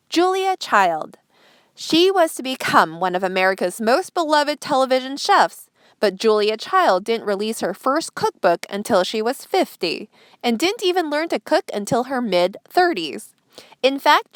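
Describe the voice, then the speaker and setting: High-voiced woman reading with lively intonation. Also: no echo, studio quality. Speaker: host reading articles aloud. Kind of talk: scripted narration, read-aloud news feature.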